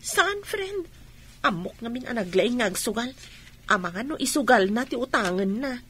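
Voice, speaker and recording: A woman's voice: fairly high pitch, very animated, moderate pace, a bit bright, husky, exaggerated voice. A woman playing an anxious, plain-spoken friend in an Ilocano radio drama. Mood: concerned.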